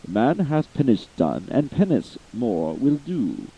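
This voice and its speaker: A man voicing a soft-spoken male spirit of the air who answers in a gentle, measured way. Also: mid-range voice, wildly swooping pitch, gravelly, husky, muffled recording, full character voice.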